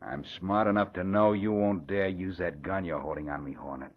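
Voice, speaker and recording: A smug nightclub gangster from a 1940s radio crime show. His voice is low and brusque, full of bullying swagger, on a dull old recording. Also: normal speed. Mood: smug, gloating. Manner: brusque tough-guy slang, gloating.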